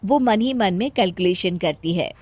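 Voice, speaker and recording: A woman's voice: medium pitch, lively intonation, normal speed, a little mellow, slightly rough, no echo. A woman narrating a scripted story as a storyteller.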